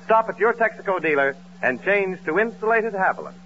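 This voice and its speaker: A man's voice: sits high, animated, a little fast, highs cut off. An American man working as a radio announcer in polished 1940s broadcast style. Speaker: announcer and comic sidekick.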